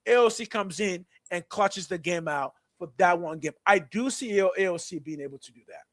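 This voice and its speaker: Animated Nigerian-accented man, high voice. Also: moderate pace, no echo, studio quality. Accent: mixed Nigerian and American. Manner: animated, playful, stumbles over words. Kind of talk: casual sports talk.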